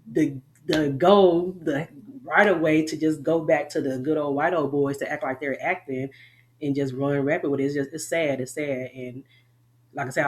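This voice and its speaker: A middle-aged woman with a Southern US accent, an army veteran talking candidly and at length in an interview, often laughing. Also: deep voice. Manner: rambling, frank, laughs often.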